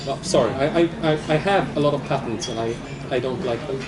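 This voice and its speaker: A man's voice: mid-range voice, fairly quick, noisy background. A man with a Swedish accent, speaking hesitantly with many restarts in a live panel discussion. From southern Sweden. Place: large public hall.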